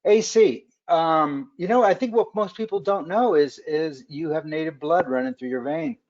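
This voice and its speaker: A man hosting a webcast interview in casual chat, halting with many fillers and restarts. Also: fairly high pitch, a little mellow. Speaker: webcast host. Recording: dull lo-fi webcast audio.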